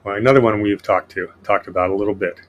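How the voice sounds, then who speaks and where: A man's voice: fairly low pitch, fairly even intonation, fast, lo-fi sound. A man hosting a casual political podcast, reading from his notes in a sardonic way. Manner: sardonic, reads notes aloud. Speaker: podcast host.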